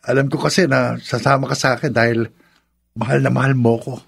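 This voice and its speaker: An actor playing a pleading, self-centred young lover in a Filipino radio drama, insistent and melodramatic. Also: male voice, medium pitch, little pitch movement, brisk, creaky, close to an everyday voice. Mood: hopeful. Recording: music behind the voice.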